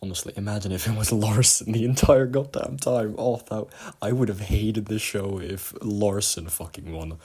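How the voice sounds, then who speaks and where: A man leaving a casual, profane voice message, mock-outraged and emphatic, with a husky voice. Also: on the low side, normal speed, a little mellow. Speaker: listener sending a voice message.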